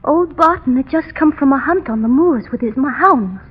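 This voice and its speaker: An actress playing a fragile, haunted young heiress, formal and dramatic in the manner of 1930s radio melodrama. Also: female voice, high-pitched, expressive, normal speed. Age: young adult. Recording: muffled, echoing 1930s radio recording.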